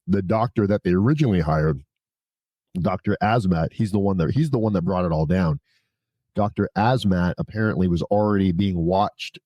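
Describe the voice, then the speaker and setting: A man's voice: sits low, mellow, no echo, dull audio. A man speaking as a podcast guest, telling his story in a candid, conversational way with blunt, informal, casually sweary phrasing. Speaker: podcast guest, prison consultant.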